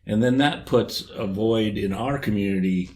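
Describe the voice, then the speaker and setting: A man's voice: on the low side, normal speed, a little mellow. An older man, a guest on a podcast, speaking bluntly and matter-of-factly. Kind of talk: casual chat.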